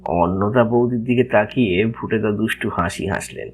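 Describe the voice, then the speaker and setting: A man with a husky voice reading scripted narration for an audio story. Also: lowish voice, normal speed, faint music behind.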